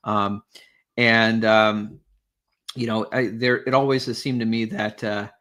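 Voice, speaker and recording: A man hosting an interview, talking in an animated, rambling way with many fillers. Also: fairly low pitch, a little slow. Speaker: podcast host. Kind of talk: conversational interview.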